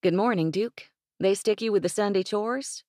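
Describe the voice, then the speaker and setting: A woman's voice: mid-range voice, moderate pace, mellow, no room sound, studio quality, voice pushed up, lightly acted. A woman voicing a spirited young ranch woman with a teasing, bossy drawl, read in an audiobook. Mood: playful.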